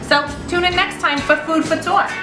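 A woman's voice: very high voice, steady tone, moderate pace, distant mic, music in the background. A woman in her thirties hosting a home cooking show, chatting through each step as she cooks in a small kitchen. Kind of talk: casual cooking demonstration. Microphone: camera mic at a distance. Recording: echoey kitchen, voice from off-mic, stove noise. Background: sizzling pan and stirring.

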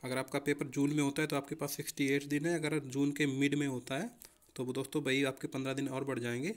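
A man's voice: medium pitch, little pitch movement, fast. A young man explaining exam preparation informally as a coaching teacher, often addressing listeners as friends.

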